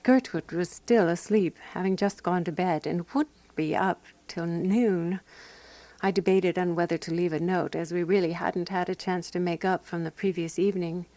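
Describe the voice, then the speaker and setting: An actress playing a composed, wry woman sleuth narrating a radio mystery in measured, scripted storytelling. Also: on the low side, fairly even intonation, warm tone, no room sound, natural voice.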